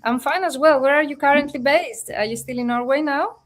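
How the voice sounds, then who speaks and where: A woman's voice: sits high, brisk. A woman with a Greek accent hosting a live-streamed interview over a video call, chatty and friendly, with fillers and restarts. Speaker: host and business coach.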